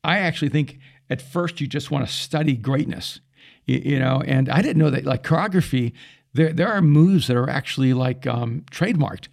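A middle-aged man from El Salvador, a pastor whose first language is Spanish, telling animated stories in casual conversation. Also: medium pitch, moderate pace, vocal fry. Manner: animated storyteller with quoted asides. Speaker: pastor and podcast guest.

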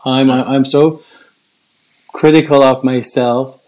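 A man's voice: mid-range voice, fairly even intonation, takes it slowly, darkish tone. An older man who stutters, with repeated words and restarts, speaking in an earnest, reflective way in a casual conversation. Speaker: guest.

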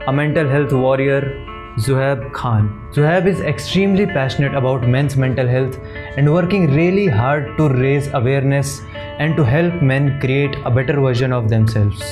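Male podcast host, admiring, fillers, guitar music clearly behind. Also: medium pitch, normal speed. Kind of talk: interview host introduction and questions.

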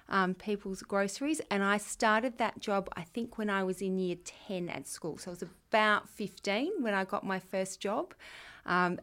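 Aussie lady in her 40s, school leader, chatty and earnest, talks to students. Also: medium pitch. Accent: Australian. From Western Australia. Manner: warm, earnest, chatty with fillers. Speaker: school head of junior years. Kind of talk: answering students' interview questions.

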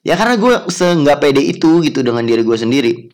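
A man's voice: a little high, sing-song, brisk. A young man, talking casually on his podcast in a chatty, self-mocking way with many fillers and laughs. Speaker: podcast host.

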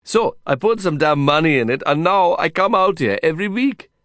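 A man voicing an exuberant, foul-mouthed, friendly Indian man with an Indian accent and excitable, slangy, cajoling speech in an audiobook. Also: on the high side, moderate pace, dry recording, clean studio sound, voice pushed up. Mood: excited, boastful.

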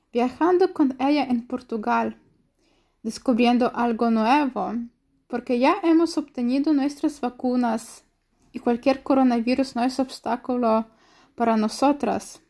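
A young woman reading a personal essay aloud in a slow, careful way. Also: high voice, dark tone.

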